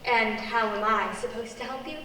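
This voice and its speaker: A woman playing a sharp, wary train passenger in an old-time radio play. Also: on the high side, steady tone, normal speed. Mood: coolly compliant. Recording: echoey hall, far from mic, noisy.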